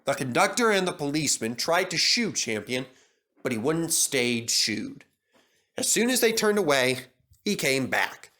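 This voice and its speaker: A man narrating a scripted children's story as an expressive storyteller. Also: fairly high pitch, a little slow.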